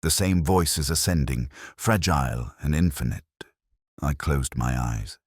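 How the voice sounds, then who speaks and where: Man, extremely low pitch, dry close sound, solemn measured storytelling, scripted detective narration. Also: no room sound.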